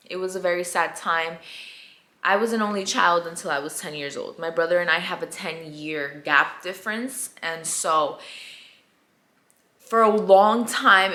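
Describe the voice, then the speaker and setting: A woman's voice: on the low side, gravelly, sharp tone. Chatty young woman in an echoey room. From Colombia. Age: 20s. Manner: chatty and candid, repeats words, drops to whispers for asides. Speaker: vlogger. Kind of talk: casual storytime chat to camera.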